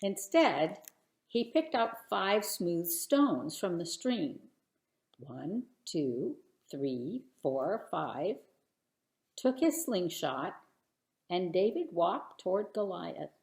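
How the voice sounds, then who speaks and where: A woman telling a children's bedtime story in an expressive, theatrical and deliberate way. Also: lowish voice, creaky, roomy sound. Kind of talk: dramatic bedtime story narration.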